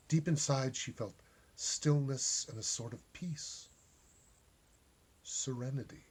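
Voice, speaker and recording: A man reading a short story aloud as an expressive narrator. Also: mid-range voice, slightly rough. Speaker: story reader.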